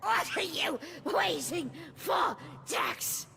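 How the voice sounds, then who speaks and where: A woman's voice: very high-pitched, lively intonation, drawn-out words, gravelly, full character voice. A woman playing a cruel, imperious decaying queen in a video game, theatrical and menacing. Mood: commanding fury. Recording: loud music behind the voice.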